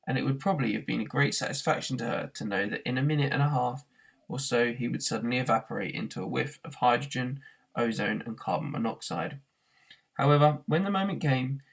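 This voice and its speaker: A man reading a novel aloud as an expressive narrator, in the way of a teacher reading to a class. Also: medium pitch, creaky, rough recording.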